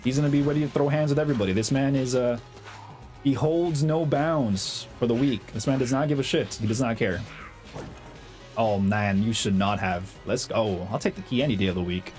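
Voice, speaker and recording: A young man with a slight cold, chatting excitedly over his own gameplay as the host of a gaming channel, full of slang and fillers. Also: mid-range voice, moderate pace, soft background music. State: has a cold, slightly hoarse. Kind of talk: casual gameplay commentary.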